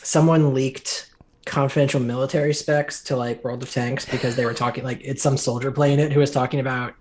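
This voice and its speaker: A man co-hosting a game development stream, chatting casually with wry, relaxed asides over a video call from a home room. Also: mid-range voice, a little fast. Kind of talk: casual chat during a dev stream.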